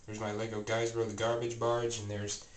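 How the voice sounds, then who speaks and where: A man's voice: on the low side, monotone, a little fast. A man with a stuffy, slightly scratchy voice talks casually through a tour of his train room at home. He rambles and corrects himself, with plenty of fillers. A camera mic held close picks him up, with a faint clicking underneath. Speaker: toy train vlogger. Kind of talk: casual show-and-tell narration. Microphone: handheld camera mic, filming close.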